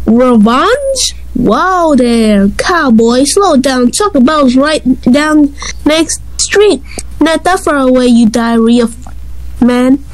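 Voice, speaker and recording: Slow, high-pitched arrogant gym bully acted by a woman. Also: sing-song, dry recording, full character voice, low-quality recording. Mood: mocking, boastful. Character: arrogant buff male gym bully, mocking and childish. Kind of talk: amateur animated skit acting.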